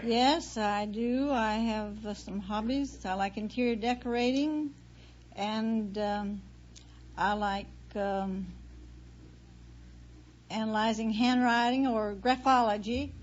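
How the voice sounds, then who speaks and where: A muffled old radio recording with faint hiss of a woman with a rather high voice. She answers haltingly as a contestant on a quiz show.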